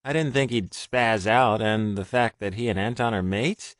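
A man's voice: on the low side, steady tone, normal speed, no room sound, clean studio sound, lightly acted. A man voicing a small, anxious, educated young man in an audiobook, fretful and wordy. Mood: guilty. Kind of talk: audiobook character reading.

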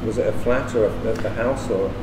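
A man's voice: fairly low pitch, brisk, darkish tone. A man interviewing a guest in a café, asking conversational questions from off camera. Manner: prompting, conversational. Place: café indoors. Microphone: off-camera, away from the mic. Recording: distant, echoey room with background noise.

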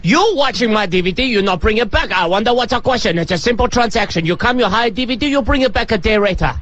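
A man's voice: high-pitched, animated, fast talker, a bit bright, lo-fi sound. Man doing a pushy shopkeeper, mock Chinese accent. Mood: indignant. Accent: put-on Chinese accent. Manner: broken English, indignant and bossy. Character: stubborn pushy male video shop owner. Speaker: radio prank caller. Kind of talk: radio prank call.

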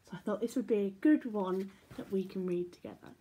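A woman in her twenties reading a children's story aloud expressively, shifting between hushed and excited delivery. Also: mid-range voice, brisk. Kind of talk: animated picture-book read-aloud. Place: small domestic room indoors.

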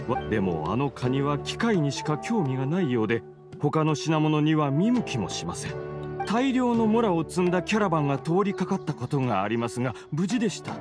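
A man playing a polite, earnest investigator in an anime game, explaining carefully with some disapproval. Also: a little high. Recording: game music behind the voice.

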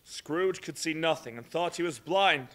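A man narrating a live stage play in a measured storytelling way. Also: on the high side, fairly bright tone. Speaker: narrator and actor. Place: large hall with audience.

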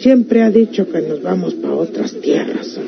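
A woman's voice: lowish voice, expressive, unhurried, heavily acted. Through a noisy, dull old radio recording comes a resolute country woman with a rural Mexican accent. She talks in a plain, earnest, rustic way, as in a classic radio serial. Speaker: actress in a radio drama. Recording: muffled old radio recording, clear noise.

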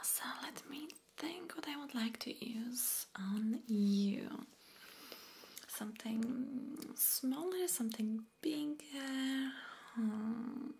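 Slow, whispering young woman in ASMR roleplay. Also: a little high, slight background noise. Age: young adult. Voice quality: whispered, breathy. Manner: slow, hushed, soothing, with pauses and soft hums. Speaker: ASMR creator playing a massage therapist. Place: small indoor room. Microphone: close ASMR microphone.

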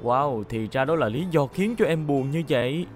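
A man's voice: mid-range voice, normal speed, over music, lightly acted. A man voicing a kind, confident young police car hero in a children's cartoon dub, reassuring and helpful.

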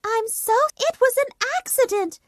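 A woman voicing a scared young girl, high and quick as she pleads. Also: darkish tone, no room sound, studio quality. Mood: apologetic. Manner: high, fast, tearful pleading.

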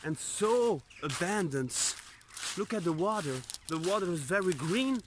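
A man's voice: high-pitched, expressive, a little slow, background noise. A man in his twenties chatting casually and slangily to viewers as an urban exploration vlogger, recorded outdoors on a phone held at arm's length. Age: 20s. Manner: slangy, addresses viewers as bros. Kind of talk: casual vlog chat to camera. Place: outdoors in woods by an abandoned building. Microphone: phone on selfie stick at arm's length.

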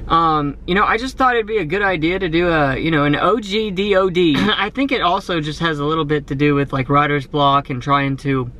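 A man's voice: high-pitched, a bit bright, a little hiss or hum. A man in his thirties vlogging candidly to a handheld camera, rambling with many fillers, first from his car and then outdoors in a park. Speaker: vlogger and delivery driver. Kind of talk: casual self-filmed vlog talk. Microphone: phone or camera held at arm's length.

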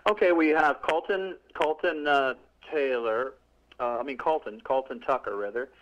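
A man's voice: mid-range voice, moderate pace, muffled recording. A middle-aged male author talking casually in an interview, rambling with many restarts and fillers. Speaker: author guest.

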